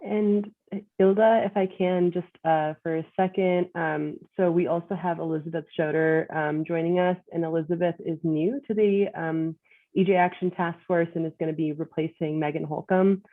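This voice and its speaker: A woman's voice: on the low side, fairly even intonation, normal speed, no room sound. A woman organizer speaking informally in an online meeting, with thin call audio. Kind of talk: informal introduction of a new member. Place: remote video call. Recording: thin phone-like audio, very dry.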